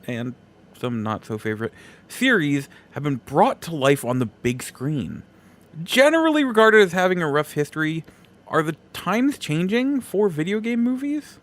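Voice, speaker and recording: A man hosting a podcast solo, giving opinions in a casual, conversational and emphatic way. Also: on the high side. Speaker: podcast host.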